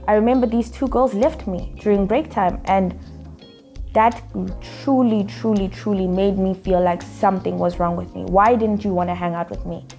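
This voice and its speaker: A woman's voice: mid-range voice, a little mellow. A woman from Zimbabwe telling a personal story as an interviewee, conversational with small fillers.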